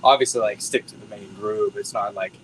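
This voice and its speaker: An American drummer talks in a soft, humble, grateful way with a somewhat low voice. Background noise runs steadily behind him. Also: male voice, steady tone, fairly quick, low-quality recording. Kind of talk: casual interview. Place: bowling alley venue.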